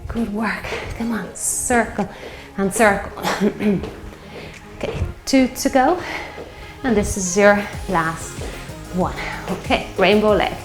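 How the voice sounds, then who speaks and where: A woman in her fifties, a fitness instructor leading a guided strength workout, slightly hoarse from a sore throat and cheering on the class as she counts out reps. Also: mid-range voice, takes it slowly, reverberant, over music. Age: 50s. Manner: encouraging, counting reps while exercising.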